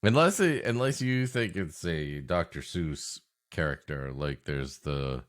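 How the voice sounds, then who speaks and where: A man's voice: rumbling low, animated, a little slow. A man from the United States co-hosting a podcast, improvising comic banter in a dry, sardonic way. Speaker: podcast co-host.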